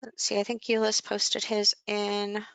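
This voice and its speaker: A woman's voice: medium pitch, monotone, unhurried, vocal fry, a bit bright, clear, resonant tone, no room sound, low-quality recording. A woman coaching a live session, explaining things informally and conversationally as she thinks aloud over charts.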